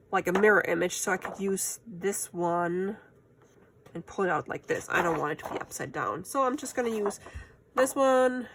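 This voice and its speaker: Woman, German accent, middle pitch, casual crafting chat, self-amused, faint background hiss. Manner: chatty and self-amused, laughs often, talks to herself while working. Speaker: crafting channel host. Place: home craft room.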